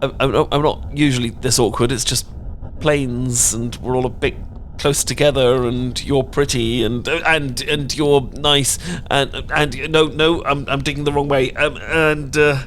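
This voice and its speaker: A man's voice: medium pitch, fairly even intonation, measured pace, few pauses, fairly bright tone, slight background noise, close to an everyday voice. A British man playing a nervous, bookish man in an audio romance roleplay, stammering and rambling in a flustered way.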